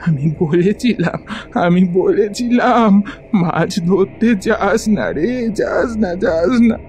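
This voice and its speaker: Man acting anxious, high-pitched old grandmother. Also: animated, darkish tone, slight background noise. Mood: tearful pleading. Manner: tearful, slow, repeating her pleas. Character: anxious old village grandmother, fearful for her son. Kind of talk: cartoon horror story voice acting.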